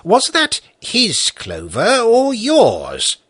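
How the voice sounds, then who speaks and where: A man reading the part of a stern, patient judge who questions the accused formally and slowly. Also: sits high, huge pitch swings, fairly bright tone, breathy edge, dry recording, voice pushed up, heavily acted. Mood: stern questioning.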